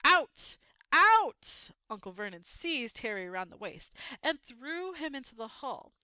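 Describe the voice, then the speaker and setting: A woman reading a children's book aloud as an expressive storyteller. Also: on the high side, normal speed, clean tone, no room sound, low-quality recording.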